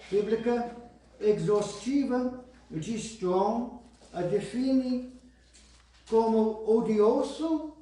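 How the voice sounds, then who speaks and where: Slow foreign-accented pastor in echoey church. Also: male voice, sits high, dark tone. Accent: English-speaking foreigner speaking Portuguese. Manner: slow, deliberate, careful reading with restarts. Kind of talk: sermon with scripture reading. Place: church hall. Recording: roomy church hall, voice from far off.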